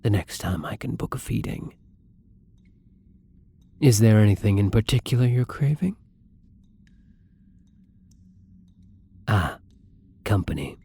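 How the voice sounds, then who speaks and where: Man as a soft, husky, melancholy vampire. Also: lowish voice, lively intonation, exaggerated voice. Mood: gentle. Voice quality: soft, breathy, husky, often near whisper. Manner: slow, hesitant, brooding, tender. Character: melancholy young male vampire, gentle, lonely, tormented, once human. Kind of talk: intimate ASMR roleplay.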